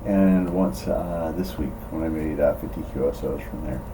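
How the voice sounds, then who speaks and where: Low-voiced man, distant and noisy recording. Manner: rambling, halting, pausing mid-thought. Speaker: amateur radio operator presenting own log data. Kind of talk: casual thinking out loud, screen demo. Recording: distant mic, clear background noise.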